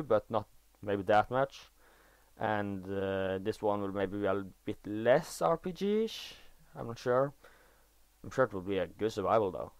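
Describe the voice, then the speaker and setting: A teenage boy from Norway talks over a video game in English, his second language, with a clear Norwegian accent. His voice sits a little low for his age, and the voice-over is close and dry. He stumbles, restarts and corrects himself as he goes, and gets keen over game details. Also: no echo. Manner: halting, self-correcting, enthusiastic about game details. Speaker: gaming video creator. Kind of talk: casual gameplay commentary. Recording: dry voice-over recorded after gameplay.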